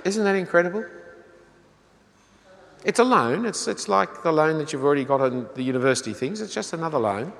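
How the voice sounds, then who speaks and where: An older man preaches in a voice pitched a little high, earnest and pressing. He keeps asking his listeners rhetorical questions. His words ring out with the long reverb of a large hall. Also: fairly bright tone. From Australia. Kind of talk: sermon.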